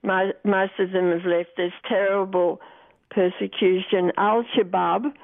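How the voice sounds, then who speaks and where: An older woman with an Australian accent speaks over a thin, phone-like line. Her voice has a creaky, gravelly edge. She recounts the past as an interview guest, earnest and a little halting as she repeats words. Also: medium pitch, steady tone, no room sound. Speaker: interview guest, former missionary. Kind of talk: reflective interview answers, recounting memories.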